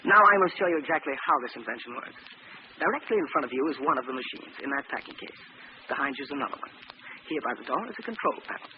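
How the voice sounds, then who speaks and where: A man playing a cold-blooded, gloating villain scientist with mock-courteous, deliberate speech in 1940s radio drama style. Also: fairly high pitch, natural voice. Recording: muffled, noisy old radio recording.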